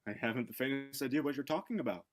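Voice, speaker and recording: A student actor playing a coolly formal, evasive, sinister doctor in a vintage radio mystery. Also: male voice, medium pitch, racing, close to an everyday voice. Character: smooth, evasive, sinister doctor under suspicion.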